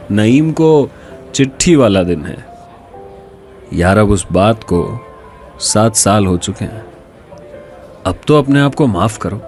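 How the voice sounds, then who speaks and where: A man's voice: mid-range voice, a little mellow, lightly acted. An actor as a frank, chummy male college dean. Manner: informal, chummy, earnest. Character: genial, frank male college dean and loyal old friend. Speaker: actor in an audio drama. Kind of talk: Hindi radio drama acting.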